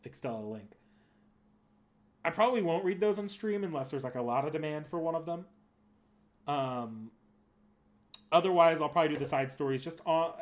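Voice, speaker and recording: A man with an average-pitched voice talks casually as a livestream host. He rambles through his thoughts, backing up and correcting himself as he answers his viewers. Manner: rambling, thinking aloud with frequent self-corrections. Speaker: streamer and host.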